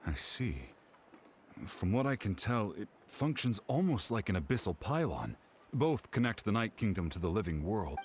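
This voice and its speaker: An actor playing a reserved, knowing warrior scout in a video game, speaking in a measured, guarded way. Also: male voice, on the low side, slight background noise. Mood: thoughtful. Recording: music playing behind the voice.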